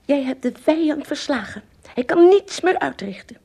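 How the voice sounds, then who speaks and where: High-voiced, haughty tiny king. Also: expressive, moderate pace, warm tone. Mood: pompous. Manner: pompous, regal, indignant. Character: haughty, easily offended tiny king of Lilliput. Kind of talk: radio play acting.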